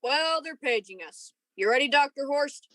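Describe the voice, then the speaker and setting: A woman's voice: high-pitched, animated, normal speed, bright, forceful tone. A woman playing an edgy, impatient young lieutenant in a science fiction radio play, with a casual, restless delivery. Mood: nervous, impatient.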